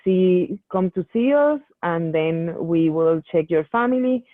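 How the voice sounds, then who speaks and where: A woman's voice: on the low side, expressive, unhurried, no echo. A woman medical geneticist answering patient questions in a Q&A session, explaining at length with many restarts. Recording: tinny phone-like call audio.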